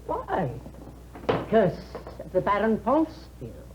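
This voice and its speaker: An actress playing an elderly aunt who speaks slowly and ominously, with eerie chuckles, in a 1950s radio drama. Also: female voice, medium pitch, huge pitch swings. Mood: sly. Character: elderly aunt, slow sinister family storyteller. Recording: dull, hissy 1950s radio broadcast.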